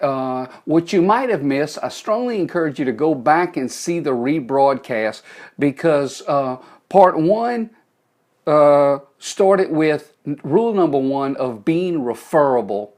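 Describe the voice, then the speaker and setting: Folksy Cajun man streaming live. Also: on the high side. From South Louisiana. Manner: folksy, greets viewers by name, jokes. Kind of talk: live motivational talk to viewers. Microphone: phone.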